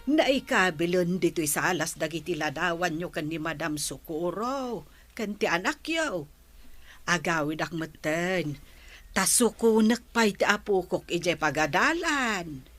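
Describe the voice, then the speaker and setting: Bright-voiced, unhurried elderly Ilocano grandmother. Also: on the low side, lively intonation. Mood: respectful. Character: elderly grandmother housemaid, respectful and devoted. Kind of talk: radio drama acting.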